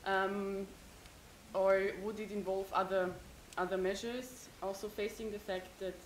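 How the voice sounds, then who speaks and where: A woman's voice: medium pitch, level tone, a little slow. A young woman student in the audience of a lecture hall, asking a long question with many hesitant fillers. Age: young adult. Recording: roomy lecture hall with faint hiss.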